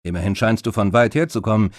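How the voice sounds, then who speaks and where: A man doing a gruff Viking warrior. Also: fairly low pitch, fast talker, no echo, clean studio sound, lightly acted. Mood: knowing.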